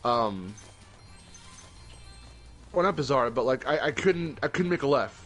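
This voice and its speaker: A man's voice: mid-range voice, lively intonation, measured pace, a bit bright, faint music behind. A young man with a groggy morning voice, chatting casually as a podcast co-host, rambling and correcting himself, with the odd swear word. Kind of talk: casual chat.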